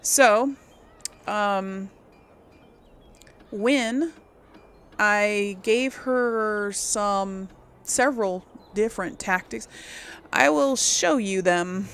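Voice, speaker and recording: A woman hosts a gameplay series in an informal, conversational way. Her voice has a noticeable creak of vocal fry at an ordinary female pitch. She talks through the game casually, thinking aloud as she explains it to her viewers. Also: drawn-out words, long pauses, a bit bright. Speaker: video game streamer, host of a role-play series.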